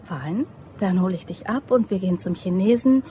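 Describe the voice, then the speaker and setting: Casting: female actor as wry, flirty office colleague, mellow tone, radio play with ambience behind her. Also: medium pitch, normal speed, slight background noise, lightly acted. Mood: teasing, flirty. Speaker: actress in a crime audio drama. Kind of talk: German radio play dialogue.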